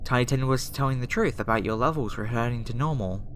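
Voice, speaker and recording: A woman playing a gentle, conflicted young alien scientist in an ASMR roleplay, speaking softly and hesitantly straight to the listener. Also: extremely low pitch, fairly quick, slight background noise. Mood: calm, reassuring. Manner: soft, hesitant, talking directly to the listener.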